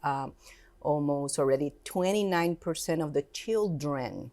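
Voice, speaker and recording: A woman's voice: low-pitched, a little slow, dark tone. A woman from Puerto Rico with a Puerto Rican Spanish accent, a Spanish native speaker, talking earnestly as an interview guest. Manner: earnest, emphatic, slightly non-native grammar. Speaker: education institute director, guest. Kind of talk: casual interview conversation.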